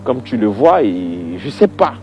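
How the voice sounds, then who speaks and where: A man's voice: fairly low pitch, big pitch swings, a little slow, mellow, muffled recording, faint music behind. A man giving an informal, emphatic opinion monologue to viewers, conversational and prone to repeating his phrases for weight.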